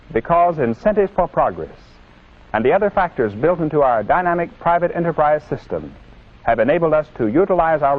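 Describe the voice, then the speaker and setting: An American man delivering an earnest, didactic scripted lecture in the manner of a 1950s propaganda film, with emphatic oratory. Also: medium pitch. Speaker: presenter lecturing on citizenship. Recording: dull lo-fi 1950s film soundtrack.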